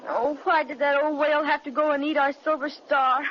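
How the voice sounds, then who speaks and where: Anxious young girl for a 1937 radio serial , a woman voicing her; very high, bright, lo-fi. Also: level tone, fairly quick, a little hiss or hum, natural voice. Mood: worried. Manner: anxious, wide-eyed. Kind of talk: 1930s radio serial acting. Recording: muffled 1930s radio transcription.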